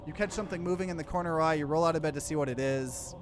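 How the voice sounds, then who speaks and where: Let's play streamer, male, lighter high-set voice, reading story text aloud with casual asides. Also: racing, background noise. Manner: mixes plain narration with offhand asides.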